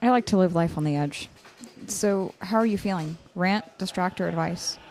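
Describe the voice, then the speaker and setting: A woman's voice: fairly low pitch, moderate pace, darkish tone, slight background noise, natural voice. A woman acting a blunt, wry and protective young friend in a naturalistic audio drama.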